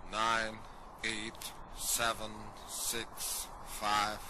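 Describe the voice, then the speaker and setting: A man's voice: on the low side, monotone, drawn-out words, background noise. A man playing an impassive launch announcer giving a countdown in an old space radio play.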